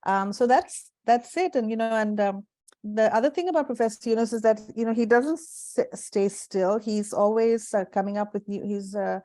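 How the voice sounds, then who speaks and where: Middle-aged woman, mellow tone, earphone mic on a video call, dry sound, pauses and restarts. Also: on the high side, no room sound. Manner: thoughtful, many fillers and restarts. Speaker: guest, executive director. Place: home room indoors. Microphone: earphone headset on video call.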